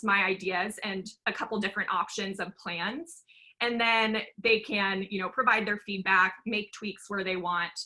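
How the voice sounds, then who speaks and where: A woman's voice: medium pitch, sharp tone, low-quality recording. A woman on a career panel, speaking earnestly and laying out her points in an organized way. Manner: earnest, organized, explains in steps. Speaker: panelist, sales enablement lead. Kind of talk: casual panel discussion.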